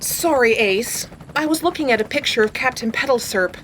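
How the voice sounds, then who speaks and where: A woman's voice: fairly high pitch, animated, moderate pace, a bit bright, a little hiss or hum, low-quality recording. A woman voicing a polite, sensitive shapeshifting spaceship with precise, formal diction in a science-fiction audio drama. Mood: wistful.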